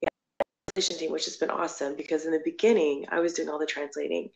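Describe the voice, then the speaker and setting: A woman's voice: sits low, fairly even intonation, fast talker, creaky, muffled recording. A woman missionary from the United States telling her story in a candid, rambling way, with many fillers and restarts. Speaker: missionary and blogger. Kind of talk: personal testimony in conversation.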